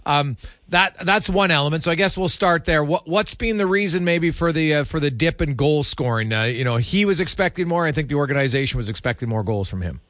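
A man's voice: fairly high pitch, lively intonation, fairly quick, a bit bright, no room sound, clean studio sound. A man hosting a sports radio interview in a conversational style, thinking aloud as he builds his questions.

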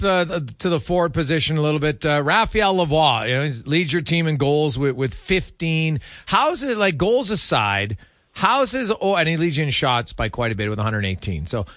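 A man's voice: fairly high pitch, a bit bright, no echo, clean studio sound. A man hosting a casual sports radio interview, asking long, conversational questions.

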